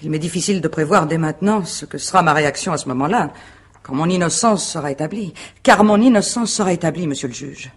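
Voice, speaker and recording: An actress playing a proud, guarded wife under suspicion in a radio mystery drama, answering formally and with composure. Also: female voice, deep voice, fast, breathy edge. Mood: composed, guarded. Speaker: actor in a radio mystery play.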